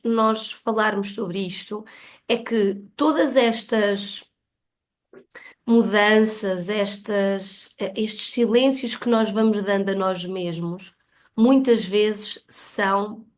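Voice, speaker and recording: A woman with a European Portuguese accent speaking as a podcast guest, explaining personal experiences in an earnest, emphatic, reflective way. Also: mid-range voice.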